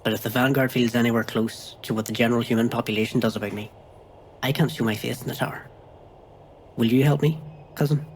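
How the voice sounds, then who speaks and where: A man's voice: medium pitch, steady tone, quick pace, slight background noise, close to an everyday voice, poor audio. A man playing a guarded, wry outcast in a science fiction audio drama. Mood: grave, pleading. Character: outcast male sci-fi exile, guarded, wry, pleading.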